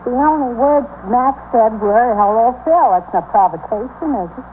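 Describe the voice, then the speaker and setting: A woman's voice: a little high, animated, moderate pace, natural voice. Actress as a blunt, complaining woman, old radio. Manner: blunt, complaining, plain-spoken. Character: impatient, indignant young working woman, a shooting witness. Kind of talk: 1950s radio drama acting. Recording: old 1950s radio broadcast, lo-fi, hissy.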